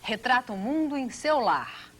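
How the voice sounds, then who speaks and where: A woman's voice: on the high side, very animated, measured pace. A Brazilian woman reading scripted documentary narration.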